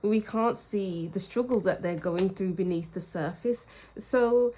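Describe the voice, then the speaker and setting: A woman giving a pick-a-card tarot reading, speaking in a reflective way and repeating phrases for emphasis, with a slightly croaky throat. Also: medium pitch, measured pace, warm tone, rough recording. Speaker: tarot reader.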